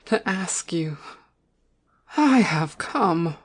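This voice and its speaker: Imperious lady of the manor voiced by a woman, low and slow, cold, commanding, for storybook drama. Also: expressive, slightly rough, exaggerated voice. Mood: pleading, insistent. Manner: slow, stern, declamatory. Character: haughty, commanding aristocratic mother, cold and imperious. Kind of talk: dramatic audiobook reading.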